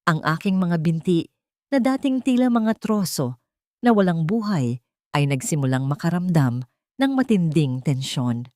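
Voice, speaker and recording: A woman's voice: lowish voice, lively intonation, a little slow, warm tone, no room sound, clean studio sound. A woman reading a dramatic first-person story as a scripted narrator.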